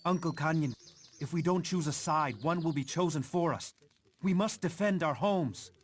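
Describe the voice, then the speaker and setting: An actor playing an earnest, determined young frontier militia captain in a video game cutscene. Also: male voice, on the high side, moderate pace, a little hiss or hum, close to an everyday voice. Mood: urgent pleading. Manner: earnest, resolute.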